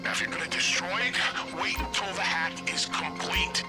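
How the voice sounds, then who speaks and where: An actor playing a wry, conspiracy-minded government agent in a video game, giving sardonic, clipped briefings. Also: male voice, high voice, moderate pace. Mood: businesslike, wry. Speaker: intelligence agency handler. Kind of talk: video game cutscene acting. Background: music.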